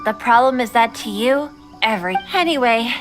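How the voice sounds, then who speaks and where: A woman's voice: a little high, lively intonation, moderate pace, over music, close to an everyday voice. A woman playing a formal young training supervisor in a video game, composed and reproachful but patient. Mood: exasperated. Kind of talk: video game character dialogue.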